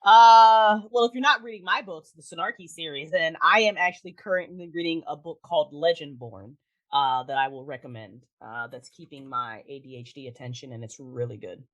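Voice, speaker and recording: A woman talking casually as a podcast guest, with an animated, emphatic, informal way of speaking. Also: deep voice, fairly quick, lo-fi sound. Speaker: podcast guest, audio drama creator. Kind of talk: casual interview chat.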